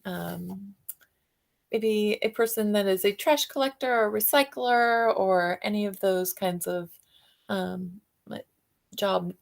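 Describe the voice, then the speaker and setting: Woman, medium pitch, noticeable vocal fry, unscripted explaining to children, question-led teaching style. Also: a bit bright. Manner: guessing aloud, prompting listeners with questions. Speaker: teacher reading aloud to children. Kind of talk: children's read-aloud discussion, unscripted explaining.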